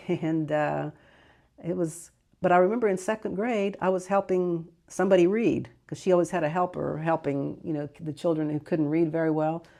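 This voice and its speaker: An older woman who grew up speaking Greek at home, fondly recalling her childhood in a rambling interview with soft laughs and self-corrections. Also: fairly low pitch, steady tone, normal speed, vocal fry. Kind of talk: casual reminiscing interview.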